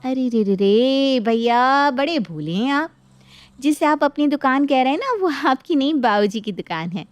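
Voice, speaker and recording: Woman playing greedy, domineering younger sister for a cartoon moral tale; high voice, mock-polite taunts, dry close recording. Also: lively intonation, measured pace, no room sound. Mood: smug, condescending. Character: greedy, bossy younger married sister, taunting her family. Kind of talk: dramatized Hindi moral story acting.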